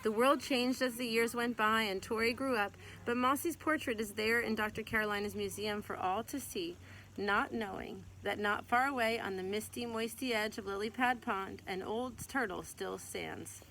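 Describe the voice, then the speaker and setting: A woman reading a children's picture book aloud in an expressive storytelling way, stretching out some words. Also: a little high, normal speed, slight background noise, poor audio.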